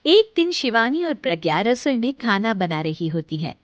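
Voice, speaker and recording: Woman narrator for a cartoon story, high voice, dry slightly dull recording. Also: big pitch swings, normal speed, no room sound.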